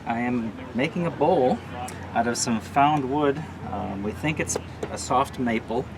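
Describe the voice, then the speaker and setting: A man demonstrating traditional woodworking, explaining his tools in a relaxed way outdoors. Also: medium pitch, a little slow. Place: outdoor craft demonstration area. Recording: loud outdoor noise.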